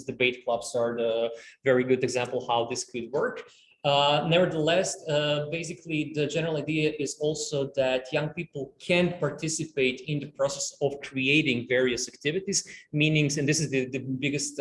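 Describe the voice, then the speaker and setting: A man on a conference panel, explaining at a measured pace with frequent fillers and restarts. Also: medium pitch, slight background noise. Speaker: panelist, youth studies researcher. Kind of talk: panel discussion answers. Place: conference room. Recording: echoey room, faint ambience.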